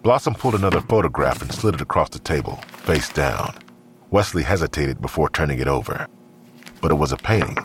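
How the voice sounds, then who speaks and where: A man narrating an audio drama in a measured, scripted storytelling style. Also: rumbling low, slight background noise.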